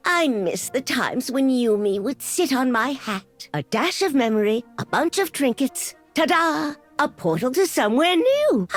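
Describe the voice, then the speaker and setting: High, whimsical, excitable game heroine. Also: female voice, unhurried, few pauses, exaggerated voice. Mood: whimsical, dreamy. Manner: singsong, giggly, exclamatory. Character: whimsical, excitable female wandering portal-hopper collecting trinkets. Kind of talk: game character voice lines. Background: music.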